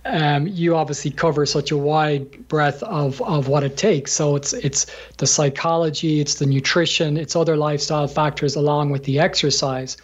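An Irishman with a husky, somewhat high voice, a gym owner talking through his path in a casual interview. He speaks haltingly, full of ums and restarts, often chuckling mid-sentence. The sound is dull and lo-fi. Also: fairly even intonation. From Ireland.

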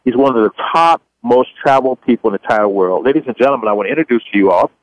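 A man's voice: mid-range voice, lively intonation, rapid-fire, no echo. A man hosting a talk radio show, introducing a guest with enthusiastic, repetitive phrasing. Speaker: radio host. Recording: dull dry studio audio.

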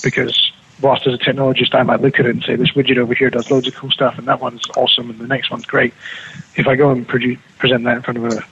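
A New Zealand man speaks quickly with a bright, slightly husky tone. His voice comes over a dull, lo-fi remote line. He restarts phrases often as he explains. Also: mid-range voice, flat delivery. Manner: fast, with frequent restarts and fillers. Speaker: guest. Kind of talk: remote radio interview. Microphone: remote call with slight lag.

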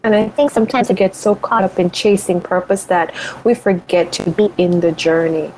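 A woman's voice: fairly low pitch, fairly even intonation, normal speed, mellow, slight background noise, poor audio. A woman guest, a life and money coach, talking in a reflective, motivational way and thinking aloud as she goes. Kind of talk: reflective interview talk.

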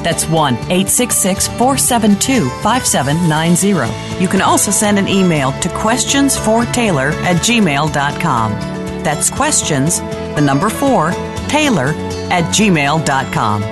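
A woman reading a scripted radio show announcement in a bright, lilting delivery. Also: on the low side, very animated, unhurried. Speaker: station announcer. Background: music bed.

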